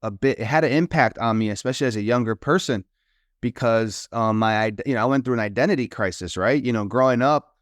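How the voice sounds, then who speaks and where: A middle-aged man from New York tells stories as a podcast guest in an unhurried, reflective way. He drops in Spanish phrases and leans on "you know." His voice is smooth and resonant, and it sounds close and dry. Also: mid-range voice, dry recording. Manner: reflective storyteller who slips into Spanish, many "you know" fillers. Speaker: guest, author and consultant. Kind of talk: casual interview talk.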